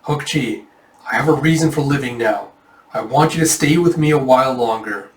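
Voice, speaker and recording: A man's voice: mid-range voice, moderate pace, natural voice. A man voicing a melodramatic, lovesick young nobleman from a fantasy manga, exclaiming with determination. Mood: determined. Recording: echoey room, far from mic.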